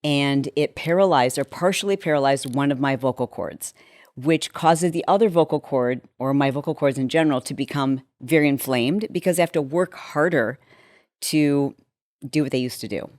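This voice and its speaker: A woman in her fifties speaks in a low, slightly hoarse voice. She chats casually and candidly, like a host walking viewers through a personal routine. The recording is dry and close, with very little room sound. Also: moderate pace, a little mellow, no echo. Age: 50s. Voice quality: deepened, slightly hoarse voice from a vocal cord injury. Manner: candid, conversational, with acted-out asides. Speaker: health and lifestyle show host.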